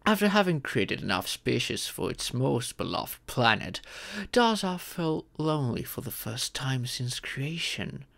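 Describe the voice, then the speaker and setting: A man playing a fatherly dragon mentor in an ASMR fantasy roleplay, patiently telling stories and teaching magic in a gentle, measured, instructive way. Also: mid-range voice, very animated, vocal fry, heavily acted. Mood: warm storytelling. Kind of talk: ASMR audio roleplay, scripted fantasy storytelling.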